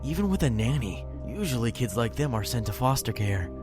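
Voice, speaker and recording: A woman plays a careful, doubtful teenage schoolboy with a very low voice. The delivery is scripted audio drama, with music clearly playing underneath. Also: very animated, brisk. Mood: sceptical. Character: cautious, sceptical, supportive teenage schoolboy.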